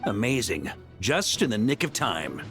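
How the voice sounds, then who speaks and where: Game cast: a calm, commanding knight leader, lively pitch, music behind. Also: male voice, a little slow. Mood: assured. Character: confident male knight commander, middle-aged.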